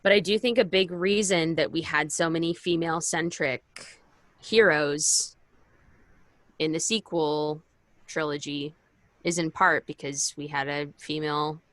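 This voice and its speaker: A woman's voice: sits low, steady tone, a little slow, a bit bright, no room sound. A woman co-hosting a podcast in casual chat, speaking informally and with enthusiasm. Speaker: podcast co-host.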